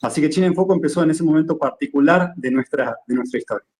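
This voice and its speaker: A man's voice: on the high side, fast talker, darkish tone. A man from Argentina with an Argentine Spanish accent, a journalist guest explaining at length and checking in with "¿sí?". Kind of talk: interview conversation.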